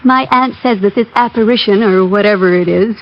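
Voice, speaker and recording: A woman's voice: medium pitch, fairly quick, a little hiss or hum, natural voice. An actress playing a wealthy, proper young woman, earnest and a little prim. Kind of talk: 1940s radio acting. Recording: muffled old radio broadcast with music.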